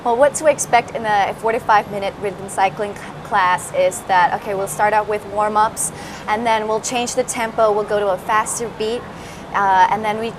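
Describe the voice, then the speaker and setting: A woman's voice: mid-range voice, moderate pace, background noise. Woman from Singapore, chatty fitness instructor. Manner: relaxed explaining, many "uh" fillers. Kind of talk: interview answers.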